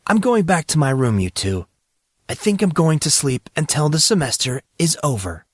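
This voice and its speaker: A man's voice: fairly high pitch, big pitch swings, normal speed, no echo, studio quality, pitched above the performer's own voice. Man playing a wry, exasperated teenage boy. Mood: sarcastic, fed up. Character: troubled fourteen-year-old schoolboy, sarcastic, exasperated, reluctant. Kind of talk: audio drama dialogue.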